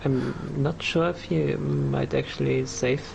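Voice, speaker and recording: A man adds measured comments to a race broadcast in a slow, gravelly, monotone voice. He hedges his points and fills pauses with "uh." Steady background noise sits under his voice. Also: mid-range voice. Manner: measured, hedging, with fillers.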